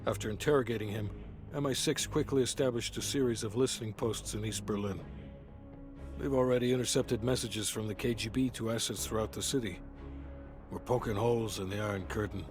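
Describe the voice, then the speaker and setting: An actor playing a hard-nosed, businesslike intelligence officer giving a matter-of-fact debrief in a video game. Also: male voice, lowish voice, steady tone, fairly quick, natural voice. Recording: music under voice. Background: music.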